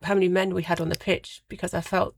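A middle-aged woman with a slightly croaky voice, co-hosting casual, wry radio banter. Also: lowish voice, flat delivery, fast talker, slight background noise. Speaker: radio co-host. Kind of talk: casual chat. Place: radio studio.

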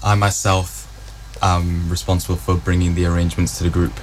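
Deep flat-voiced guy around twenty, calm and matter of fact, noticeable background noise on the mic. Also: a little fast. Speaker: vocal group arranger. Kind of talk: interview answers.

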